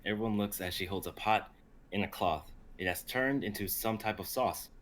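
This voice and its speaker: A man's voice: on the low side, normal speed, fairly bright tone, a little hiss or hum. A man in his twenties who chats casually and reads scripts aloud informally on an online stream. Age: 20s. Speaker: writer and reader on a streamed script workshop.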